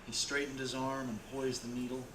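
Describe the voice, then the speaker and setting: A man's voice: medium pitch, level tone, fairly quick, crowd noise. A man narrating a radio play in a dramatic storytelling style. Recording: roomy with clear background noise.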